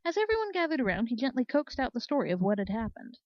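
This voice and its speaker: A woman reading a scripted story aloud in an expressive storybook manner. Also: fairly high pitch, quick pace, no echo, dull audio. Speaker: story reader. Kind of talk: scripted narration of fan fiction.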